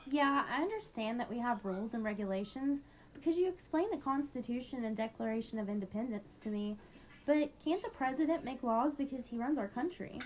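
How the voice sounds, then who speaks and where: A woman's voice: fairly high pitch, expressive, fairly quick. A woman co-hosting an educational podcast, playing the curious learner in a scripted dialogue. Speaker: podcast co-host.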